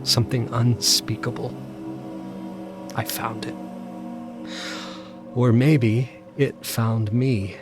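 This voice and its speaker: Haunted male narrator played by an actor, obsessive and intense, slow dramatic horror delivery, loud score behind. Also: medium pitch, flat delivery, lightly acted. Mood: haunted, subdued. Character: haunted, obsessive man narrating his supernatural terror. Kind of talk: audio drama first-person horror narration. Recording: loud music under the voice. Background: dramatic music.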